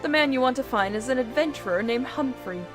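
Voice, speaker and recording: A woman voicing a haughty, disdainful female character in a fantasy game, in a measured, scornful way. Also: sits high, lively intonation, over music. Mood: cool disdain. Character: haughty, disdainful woman grudgingly giving directions.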